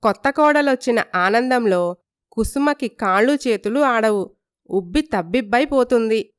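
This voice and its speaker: Smooth, close-sounding woman storyteller. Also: a little high, expressive, a little slow, no room sound. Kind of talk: scripted narration of a cartoon moral story.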